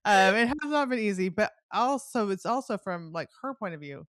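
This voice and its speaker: A woman's voice: mid-range voice, animated, moderate pace, fairly bright tone, no room sound, studio quality. A middle-aged woman hosting a casual book-chat interview, talking in a chatty way, circling back mid-sentence and often laughing. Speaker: podcast host.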